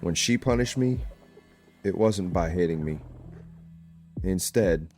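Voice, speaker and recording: A fairly low male voice from an actor as a claustrophobic, haunted small-town deputy in an audio drama. He talks plainly and grumbles, with a gruff, uneasy temper. Faint music plays underneath. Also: expressive, slow, a little mellow. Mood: grim, confiding.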